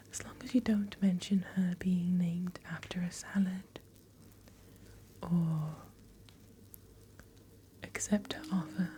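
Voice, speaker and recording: A woman playing a courteous otherworldly shopkeeper in a soft-spoken, breathy ASMR fantasy roleplay, unhurried and gently attentive. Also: lowish voice, a little hiss or hum.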